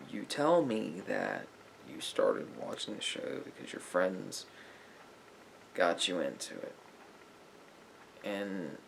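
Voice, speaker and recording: Male therapist played by a man for ASMR roleplay: calm, probing, gravelly low end, slight background noise. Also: exaggerated voice, rough recording. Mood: gently probing. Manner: measured, hesitant, deliberate questioning with long pauses.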